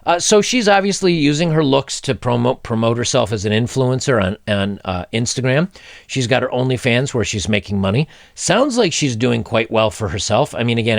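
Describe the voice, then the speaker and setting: A man's voice: mid-range voice, gravelly, no echo, clean studio sound. A man in his forties hosting a commentary video, reading a news article aloud and breaking off to give his blunt opinions. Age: 40s. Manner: blunt, opinionated, animated, with hand gestures. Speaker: commentary channel host. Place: indoor home studio, seated in gaming chair. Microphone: desk microphone in front.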